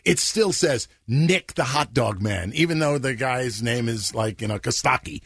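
A man's voice: medium pitch, lively intonation, normal speed, a bit bright, breathy edge, no echo, clean studio sound. A man guesting on a radio talk show, a former host, reacting with laughs and exclamations. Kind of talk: casual radio chat.

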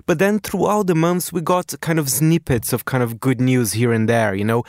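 A man from Brazil, speaking as a radio correspondent in a halting way full of restarts and you-knows. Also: mid-range voice, steady tone, darkish tone, dry recording, clean studio sound. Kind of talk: conversational radio commentary.

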